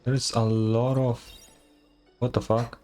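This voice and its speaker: A man's voice: mid-range voice, flat delivery, slow pace, darkish tone, soft background music. A man giving casual game commentary as a streamer, musing in a laid-back, hesitant way.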